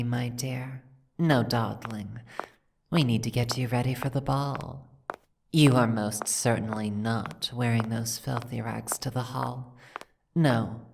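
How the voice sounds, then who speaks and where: A woman playing an imperious, seductive dragon aristocrat in an ASMR roleplay, with languid, commanding, formal speech and a breathy, gravelly voice. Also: extremely low pitch, a little mellow, heavily acted. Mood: commanding, haughty. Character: imperious seductive female dragon, possessive aristocratic mansion owner. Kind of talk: ASMR roleplay, scripted second-person acting.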